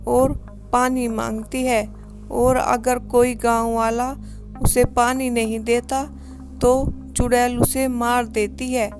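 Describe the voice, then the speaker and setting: A woman's voice: fairly high pitch, darkish tone. A woman voicing a worried villager in a horror cartoon. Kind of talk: Hindi cartoon character dialogue.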